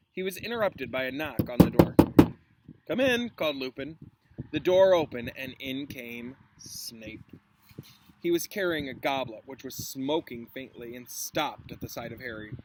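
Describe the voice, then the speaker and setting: A man's voice: mid-range voice, moderate pace, background noise. A man who teaches school, reading a story aloud with informal, chatty asides and explanations. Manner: informal, interjects explanations and reactions.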